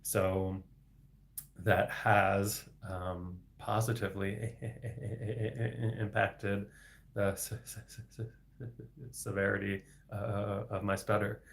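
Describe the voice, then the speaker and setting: A young man hosting a casual solo livestream, speaking with a heavy stutter full of sound repetitions and blocks and breaking into soft laughs. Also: fairly low pitch, level tone, takes it slowly, gravelly. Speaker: livestream host reading viewer questions.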